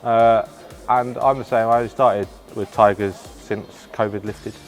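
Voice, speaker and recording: Lowish-voiced man. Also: steady tone, slow, soft background music. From Horncastle, Lincolnshire, England. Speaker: athlete, wheelchair rugby player. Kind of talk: casual interview talk.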